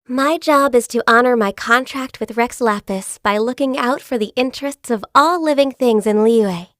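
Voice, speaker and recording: An actress voicing a gentle, shy and diligent young female secretary in a video game, soft-spoken, earnest and a little hesitant. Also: on the high side, moderate pace, no room sound, studio quality, close to an everyday voice. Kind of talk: video game character voice lines. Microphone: close studio mic.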